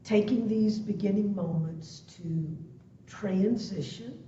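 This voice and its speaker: A woman meditation instructor leading a guided practice in soothing, deliberate phrases with long pauses between them. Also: mellow. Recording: muffled, echoey, distant web-call audio, faint rumble.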